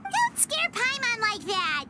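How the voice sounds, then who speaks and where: A woman voicing a tiny, excitable, easily scared fairy companion in a video game, childlike and dramatic. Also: slow pace, reverberant, noisy background, exaggerated voice. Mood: frightened. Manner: refers to self in third person, squeaky, dramatic.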